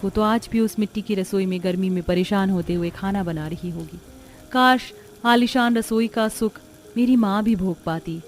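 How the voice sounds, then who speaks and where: A woman voicing a gentle, humble young village bride, earnest and deferential, in a cartoon family story. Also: medium pitch, moderate pace, slight background noise, close to an everyday voice. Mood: wistful.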